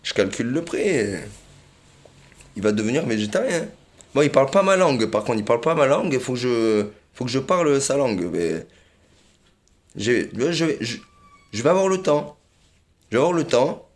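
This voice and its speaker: A man's voice: medium pitch, sing-song, normal speed, pauses often. A man rambling in a casual monologue, repeating himself often, with many fillers and little chuckles.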